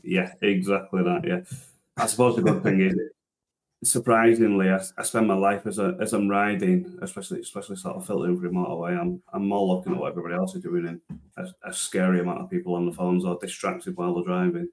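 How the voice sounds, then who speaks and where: Low-voiced man in his thirties, rambling. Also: level tone, fast, poor audio. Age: 30s. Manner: rambling, many restarts and fillers, self-deprecating. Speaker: interview guest, transport manager and driver trainer. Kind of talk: casual podcast interview.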